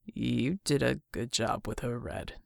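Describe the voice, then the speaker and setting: A woman voicing a gruff, steady male superhero in an audiobook, husky and terse, with a reassuring way of speaking. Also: a bass voice, unhurried, no room sound, clean studio sound, voice pushed down, exaggerated voice. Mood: calm, reassuring. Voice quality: husky, gravelly. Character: gruff, steady wounded male superhero comrade, sparing with words. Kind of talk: audiobook character dialogue.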